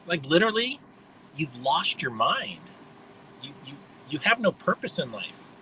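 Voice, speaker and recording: A man in his fifties talks through a loose livestream monologue with a high, bright, forceful voice. He is blunt and mocking, and he wanders from point to point. A faint hum sits under the recording. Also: very animated, unhurried, poor audio. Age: 50s. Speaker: livestream host.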